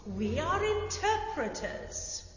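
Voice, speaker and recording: An actress playing a stern, solemn elder who speaks with formal authority in a fantasy audio drama. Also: female voice, very high voice, big pitch swings, slow, full character voice. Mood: admonishing. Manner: formal, authoritative theatrical delivery. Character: stern, solemn female elder passing judgement. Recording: echoey hall, long reverb, muffled, faint hiss.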